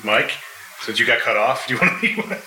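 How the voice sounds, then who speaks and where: A man's voice: medium pitch, sing-song, quick pace, over music. A man speaking casually as a panelist at a live convention event, in an echoey, noisy room. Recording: echoey room, clear background noise.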